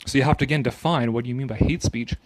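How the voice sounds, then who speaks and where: A man doing a dramatic reading with off-the-cuff commentary, animated and sarcastic in his manner. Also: medium pitch, fast talker, background noise.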